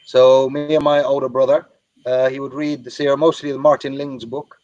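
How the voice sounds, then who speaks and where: A man from Ireland and Birmingham telling his own story as an interview guest, measured and reflective, with some Arabic phrases. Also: mid-range voice, flat delivery, a little mellow. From Ireland and Birmingham, England. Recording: dry, slightly dull lo-fi audio.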